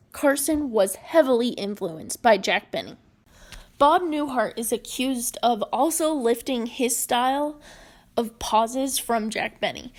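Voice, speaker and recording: A woman presenting a prepared explanatory narration in a measured, deliberate way. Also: high-pitched. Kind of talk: prepared video-essay narration on comedy history.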